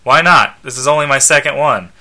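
A man's voice: mid-range voice, brisk, bright, forceful tone. A man voicing a bitter, irritable, washed-up superhero from a comic book, curt and defensive. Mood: irritated, curt. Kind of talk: comic book read aloud.

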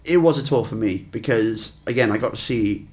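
A man's voice: mid-range voice, a little fast, a little mellow. A young Welsh man talking casually as a guest musician, rambling with lots of fillers and restarts. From Wales. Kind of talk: casual interview chat.

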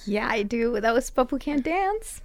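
This is a young woman co-hosting a podcast in casual chat. Her voice sits a little high. She talks in an easygoing way and teases her partner dryly. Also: big pitch swings, normal speed. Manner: wry, teasing, easygoing. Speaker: podcast co-host.

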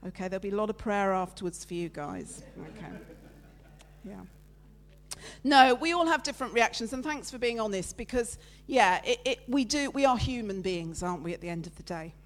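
A woman giving a lively, loud, chatty sermon with slides, talking with her congregation. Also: mid-range voice, brisk, a little hiss or hum. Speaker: preacher giving a talk at church. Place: church meeting room with listeners present.

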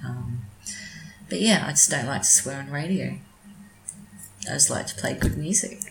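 A woman's voice: a bass voice, normal speed, creaky, reverberant, low-quality recording. A woman with an Australian accent, a community radio presenter chatting in an interview, hesitant, with many ums and restarts. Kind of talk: casual interview chat.

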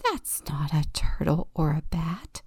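Storybook: excited whispering octopus, read by a woman. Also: fairly high pitch, slow, slightly rough, no echo, clean studio sound, voice pushed up, full character voice. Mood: hushed. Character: sleepy curious female octopus.

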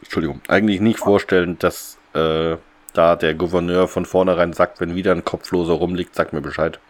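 Male podcast host, lower-pitched voice, conversational and a little hesitant as he thinks aloud. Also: little pitch movement, fairly quick. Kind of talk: casual podcast discussion.

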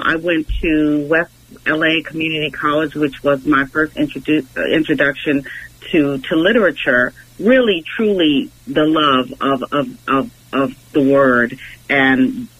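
A woman's voice: deep voice, slightly rough. A middle-aged American woman from Los Angeles, a guest talking reflectively over the phone, searching thoughtfully for her words. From Los Angeles, United States. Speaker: interview guest, English professor. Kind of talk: reflective conversational interview. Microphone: telephone. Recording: dull, noisy phone line.

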